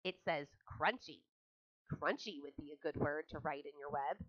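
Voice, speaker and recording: Sulky male rat, woman voicing, lower voice, sarcastic grumble, muffled recording. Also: moderate pace, fairly bright tone, dry recording. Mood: grumbling. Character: grumpy greedy male barn rat, sulky. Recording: dull lo-fi audiobook recording.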